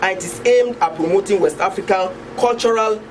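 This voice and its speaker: A woman's voice: mid-range voice, brisk, music in the background. A woman with a Nigerian accent giving a scripted introduction as a project presenter. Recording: noisy room, distant microphone.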